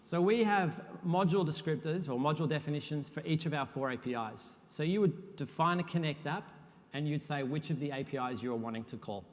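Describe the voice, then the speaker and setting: A man's voice: a little high, brisk. Man presenting in a reverberant hall. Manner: relaxed, self-deprecating presenter with frequent uhs. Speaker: conference speaker, software architect. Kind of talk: technical conference talk. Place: conference hall.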